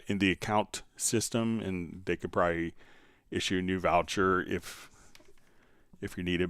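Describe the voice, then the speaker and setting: Male livestream instructor, smooth low voice, conversational step-by-step delivery. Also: a bit bright, no room sound, studio quality. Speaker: livestream host, software instructor. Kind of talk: casual live tutorial, answering viewer questions.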